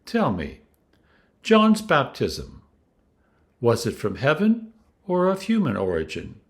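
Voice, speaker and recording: Man reading as Jesus, a firm, deliberate teacher. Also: medium pitch, huge pitch swings, exaggerated voice. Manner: measured, deliberate, emphatic. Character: Jesus, calm, firm, shrewd male teacher. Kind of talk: dramatized scripture reading.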